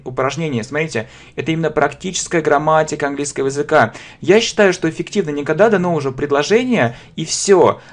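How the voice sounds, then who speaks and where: A man giving a beginner English grammar lesson in a video, often pausing on fillers and saying English examples slowly and with stress. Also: a little high. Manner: slow, emphatic English examples with many "a" fillers. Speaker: English teacher.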